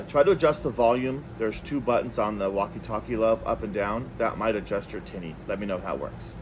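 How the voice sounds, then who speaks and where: A man's voice: on the low side, slight background noise. A man hosting a casual, unscripted product review, talking conversationally and thinking aloud as he goes. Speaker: product reviewer, video host.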